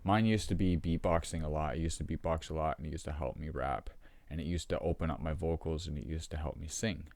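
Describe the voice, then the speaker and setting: Low-voiced guy with a sore scratchy throat rambling to his camera at home, lots of ums, dry close sound. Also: steady tone, a little mellow, dry recording. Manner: rambling, halting, many ums and restarts. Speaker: vlogger. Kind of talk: casual talk to camera.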